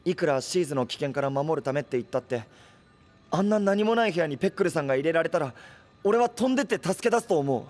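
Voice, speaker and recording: A man's voice: fairly high pitch, lively intonation, fast talker, a little mellow, natural voice. A man playing an earnest, simple-minded young hero in an audio drama, speaking in a blunt, naive, boyish way. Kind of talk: anime-style audio drama acting.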